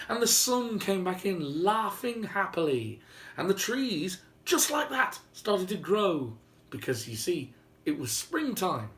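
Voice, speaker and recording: A man's voice: a little high, a bit bright. Man telling a fairy tale, theatrically. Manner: theatrical, droll, conversational. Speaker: storyteller. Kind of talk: animated oral retelling of a fairy tale with playful asides.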